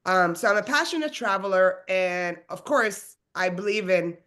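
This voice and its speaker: A woman's voice: medium pitch, moderate pace, fairly bright tone. A woman from Sweden, a realtor and business coach, hosting a webinar over a video call in a casual, upbeat, chatty way. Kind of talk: presenting a webinar.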